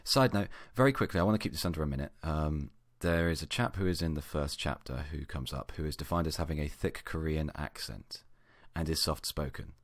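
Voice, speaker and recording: A man with a low voice reads fan fiction aloud as an amateur narrator. The sound is dry and close, with little room around it. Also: brisk, dry recording. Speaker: amateur story reader.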